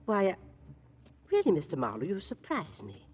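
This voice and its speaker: An actress playing a genteel, proud old widow, formal, prim and fluttery, in 1940s radio style. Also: female voice, lowish voice, huge pitch swings, moderate pace, slight background noise. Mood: affronted. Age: older.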